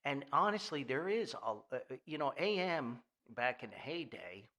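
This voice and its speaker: A man's voice: mid-range voice, sing-song, normal speed, sharp tone. A man hosting a casual solo podcast, talking in a rambling, folksy way with conversational asides. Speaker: podcast host.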